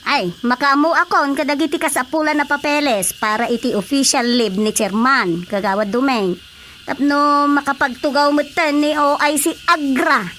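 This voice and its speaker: A woman's voice: sits high, moderate pace, sharp tone, a little hiss or hum. An actress playing a chatty, frank younger village woman in a radio comedy drama. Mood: businesslike. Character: chairman's chatty, frank younger female relative or aide.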